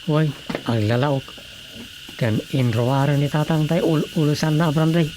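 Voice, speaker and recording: Woman doing grumbly tipsy drinker, ilocano radio drama, really low voice, faint noise. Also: steady tone, normal speed, dark tone, natural voice. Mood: grumbling.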